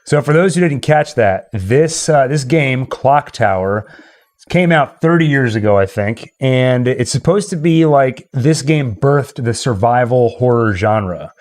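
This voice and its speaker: A man's voice: medium pitch, lively intonation, unhurried, darkish tone. A man hosting a casual livestream, chatting and speaking directly to viewers. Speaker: livestream host. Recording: music playing behind the voice. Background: game and tune music.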